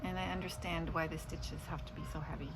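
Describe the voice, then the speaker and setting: A woman's voice: deep voice, steady tone, quick pace, background noise. A middle-aged woman in casual, rambling chat about her day, drifting off into asides. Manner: meandering, trailing off into asides. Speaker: vlogger.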